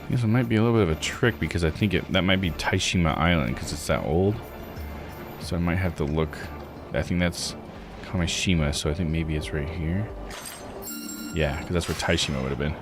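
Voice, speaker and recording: A man's voice: low voice, fairly quick. A man doing casual let's play commentary, thinking aloud and reading game text out. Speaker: video game streamer. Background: game music.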